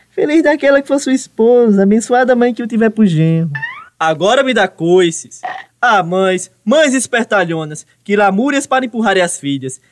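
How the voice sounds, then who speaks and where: A man's voice: high voice, slight background noise. A man with a Northeastern Brazilian accent playing an insolent, mocking young son-in-law in a comic radio soap, declaiming sarcastically. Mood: scornful, mocking.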